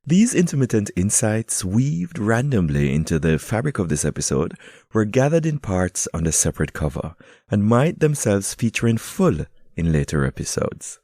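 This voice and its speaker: A deep-voiced man hosting a podcast, his pitch swinging widely as he talks. He chats playfully with a student, then moves into a polished show introduction. His tone is mellow and the sound is dry and close. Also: normal speed, no echo. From Jamaica. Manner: lively, playful, switches between English and German. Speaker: podcast host and English teacher.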